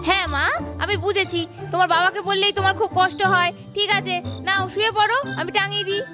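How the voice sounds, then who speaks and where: A woman's voice: very high-pitched, little pitch movement, fast, music in the background. A woman voicing a scolding, put-upon doll mother in a puppet story, nagging and sarcastic. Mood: exasperated.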